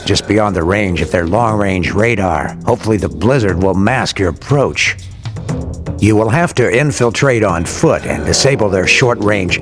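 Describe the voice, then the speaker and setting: Low, cold agency director. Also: male voice. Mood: briefing. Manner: measured, formal, commanding. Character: cold, authoritative male intelligence agency director giving briefings. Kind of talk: video game cutscene briefing. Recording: game audio with music underneath.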